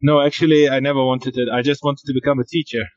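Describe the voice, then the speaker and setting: A man answering interview questions from outdoors over a remote call, measured and a little repetitive. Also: mid-range voice, flat delivery, dull audio. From Germany. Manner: measured, repeats himself, light fillers. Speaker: podcast guest, football agent.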